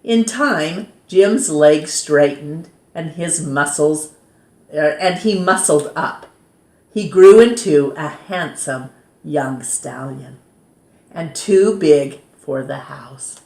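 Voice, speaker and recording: A woman reads a children's story aloud as a storytime host, in an expressive, measured way that dramatizes key words. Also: deep voice. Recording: roomy, echoing sound.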